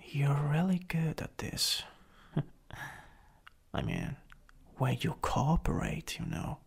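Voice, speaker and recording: Casting: male actor as possessive, teasing supervillain for ASMR roleplay; Italian accent, breathy, husky, hugely varied intonation. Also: fairly high pitch, wildly swooping pitch, full character voice. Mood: coaxing, flirtatious. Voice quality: breathy, often whispering. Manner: slow, teasing, lingering delivery.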